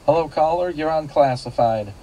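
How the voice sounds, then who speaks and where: A man's voice: a little high, moderate pace, dark tone, poor audio. A man hosting a local radio classifieds call-in show, politely repeating details back. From United States.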